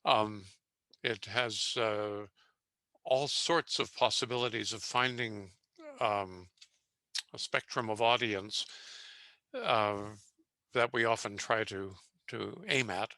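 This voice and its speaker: Older male publisher, halting and hesitant, dry close sound. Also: fairly low pitch, bright, forceful tone, dry recording. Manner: hesitant, halting, many fillers and restarts. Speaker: publisher on a pitch panel. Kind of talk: giving feedback on a video call.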